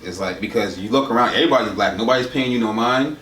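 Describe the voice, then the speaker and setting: Fast-talking New man, distant roomy sound. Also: lowish voice, over a noisy crowd. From New York. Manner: fast, loose storytelling with restarts. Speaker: co-host. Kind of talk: casual conversation. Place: indoor room at a table.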